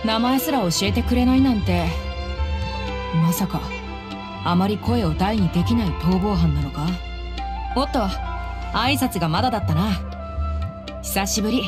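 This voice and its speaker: A woman's voice: medium pitch, lively intonation, moderate pace, long pauses, over music, lightly acted. A woman playing a bold, easygoing mercenary in a video game, tomboyish, blunt and familiar. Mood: teasing, curious.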